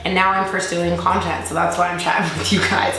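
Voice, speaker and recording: A woman's voice: fairly low pitch, flat delivery, a little fast, bright, forceful tone. A woman chatting casually in a giggly, teasing way as a vlogger answering viewer questions. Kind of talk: casual couple Q&A chat. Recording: echoey room, music underneath. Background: background music.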